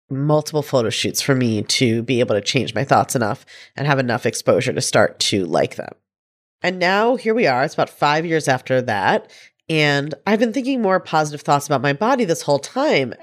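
A congested woman in her thirties speaks with a stuffy, nasal tone and a low voice that swoops up and down as she talks. She hosts her own coaching podcast in a chatty solo style, often tossing in "right?" to check in with her listeners. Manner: chatty and warm, frequent "right?" asides, laughs easily. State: congested with a head cold. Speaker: podcast host and life coach. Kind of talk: casual solo podcast talk.

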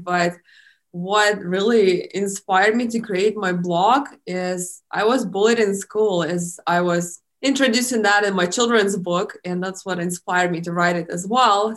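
A woman's voice: mid-range voice, a bit bright. A woman from eastern Ukraine, a native Russian speaker with a Russian accent, talking casually as a podcast guest. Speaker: podcast guest, blogger and model. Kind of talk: casual interview talk.

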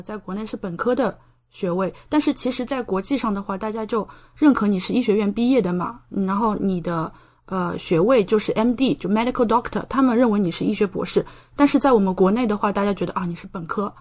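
A young woman speaks as a guest in a conversational interview. Her voice sits at a middle pitch, and she lays out explanations in an informal, step-by-step way. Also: fairly quick, a little mellow. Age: young adult. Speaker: podcast guest, doctor.

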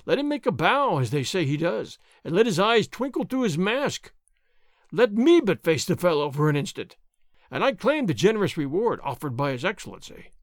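A man voicing a loud, boastful army sergeant in a storybook adventure, blustering and theatrical. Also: high voice, very animated, moderate pace, dry recording, studio quality. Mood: scornful bluster. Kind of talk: dramatized adventure storybook reading.

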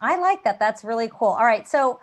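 A woman's voice: a little high, lively intonation, brisk. A woman in her thirties interviewing an athlete in a chatty, upbeat way. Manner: chatty, upbeat, says "all right" often. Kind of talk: friendly sports interview.

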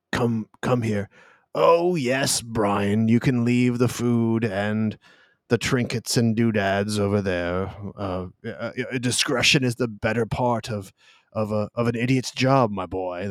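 A man's voice: lowish voice, slow, no echo, clean studio sound. A man voicing a pompous, lecherous older male general who talks in a fussy, smarmy way and keeps saying "yes" and "my dear".

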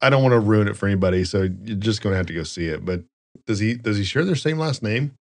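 Low-voiced, dry-sounding American man. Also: expressive, quick pace, darkish tone, dry recording. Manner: rambling, joking. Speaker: podcast host. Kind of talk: casual chat and storytelling.

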